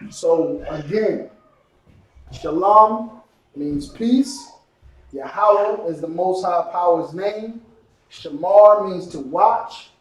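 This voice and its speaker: A man teaching a Hebrew language class, drilling words slowly and often checking "you understand?". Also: high voice. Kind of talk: classroom lesson, call and response. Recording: echoey room, mic far off.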